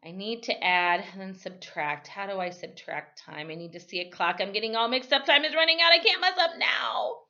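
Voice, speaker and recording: A woman's voice: medium pitch, expressive, a little fast, hardly any pauses, sharp tone, highs cut off. A woman teacher reading a story aloud expressively, with dramatic emphasis and the odd corrected slip. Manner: reads in the first person with dramatic emphasis, correcting slips.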